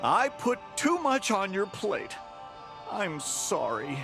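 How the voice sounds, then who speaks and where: Wildly expressive, high-pitched demanding boss. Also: male voice, slow pace, gravelly, heavily acted. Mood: flustered, placating. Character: demanding, patronizing male company boss who turns flustered. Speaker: boss in a game story. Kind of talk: animated video game voice acting. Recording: music playing behind the voice.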